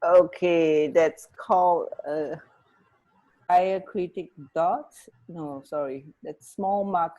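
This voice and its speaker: A woman's voice: on the low side, steady tone, takes it slowly, mellow, dull audio. A woman from Singapore co-hosting a radio show, swapping trivia in a chatty, hesitant, giggly way. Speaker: radio co-host. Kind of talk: casual banter, sharing trivia.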